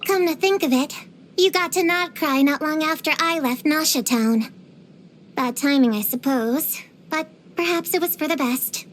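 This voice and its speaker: A woman's voice: sits high, normal speed, slight background noise, close to an everyday voice. A woman voicing an earnest, bookish young girl witch hunter in a video game, precocious, proud and slightly dramatic. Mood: sincere, awkward. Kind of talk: scripted game voice lines.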